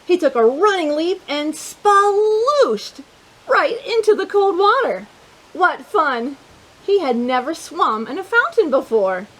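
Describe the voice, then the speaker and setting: A woman hosting a children's storytime, reading a picture book aloud in an animated, playful way, with chatty asides to her young listeners. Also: very high-pitched, slow, rough recording.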